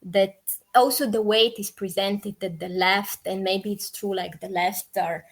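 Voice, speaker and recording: A woman with a Bulgarian accent speaks as an interview guest at a middling pitch. Her delivery is conversational and informal, and she often qualifies and rephrases her points while thinking aloud. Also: steady tone, a little slow. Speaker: podcast guest, peace activist.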